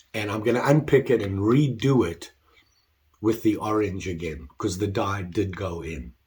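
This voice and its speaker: An older man talking casually about his leatherwork, congested while getting over the flu, self-deprecating and rambling. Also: fairly low pitch. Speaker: leatherwork vlogger. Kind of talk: casual workshop chat.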